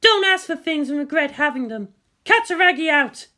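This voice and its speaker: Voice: high voice, animated, moderate pace, bright, forceful tone, voice pushed up. A narrator voicing a fierce, defiant female military commander who swears and snaps in anger. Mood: hard, defiant.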